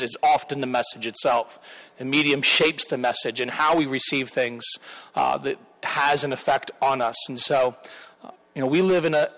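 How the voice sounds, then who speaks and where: A man's voice: medium pitch, a bit bright, low-quality recording. A man in his thirties, a pastor, earnestly introduces a guest at church and leads a prayer, rambling with restarts.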